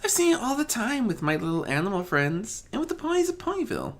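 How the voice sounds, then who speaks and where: A man's voice: sits high, huge pitch swings, fast talker, pitched above the performer's own voice, heavily acted. A man voicing a timid, sweet, polite young female pony with a meek, hesitant manner, in a storybook reading. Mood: shy, gentle. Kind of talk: fan fiction audiobook character reading.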